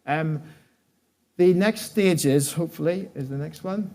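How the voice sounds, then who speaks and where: A man giving a conference presentation as an executive, speaking haltingly and often restarting his words and phrases. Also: fairly high pitch, warm tone. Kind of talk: conference presentation with audience questions.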